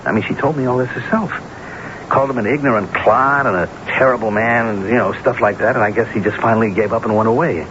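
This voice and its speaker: An actor playing an anxious, guilt-ridden unfaithful husband in a radio mystery drama, stammering and repeating words earnestly. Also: male voice, medium pitch, fast, natural voice. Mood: anxious explaining. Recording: noisy, muffled old radio broadcast recording.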